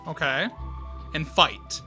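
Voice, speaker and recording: A man's voice: on the high side, slow pace, bright, forceful tone, soft background music. A young man giving blunt, casual reaction commentary as a video host, recorded in a small room.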